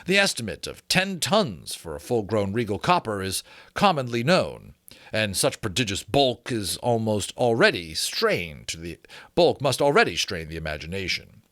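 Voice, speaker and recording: Low voiced guy reading a book out loud, expressive, chatty, dry close sound. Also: moderate pace, dry recording. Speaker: reader and presenter of a book reading channel. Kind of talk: dramatic audiobook reading with casual asides.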